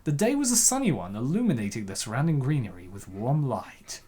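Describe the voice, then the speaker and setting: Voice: medium pitch, sing-song, fairly quick, dark tone, husky. Jokey comic-dub narrator with rambling asides. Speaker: host, narrator and comic dubber. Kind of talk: comic dub narration with casual joking commentary.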